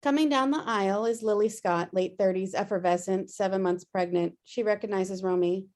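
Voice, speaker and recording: A woman's voice: medium pitch, moderate pace, a little mellow. A woman narrating a screenplay's stage directions at a table read.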